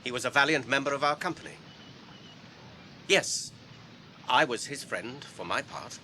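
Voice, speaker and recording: An English actor playing an earnest, courteous, guarded hobbit traveller in a radio drama, speaking in a measured, formal way. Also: male voice, medium pitch, lively intonation, sharp tone, a little hiss or hum. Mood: firm, measured. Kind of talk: BBC radio drama acting.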